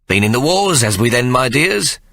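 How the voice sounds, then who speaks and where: Guy doing sly old uncle voice, drawly, coaxing, pitched up a little, bouncy. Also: big pitch swings, moderate pace, vocal fry, pushed and bright, exaggerated voice. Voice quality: coaxing, drawling, folksy grammar.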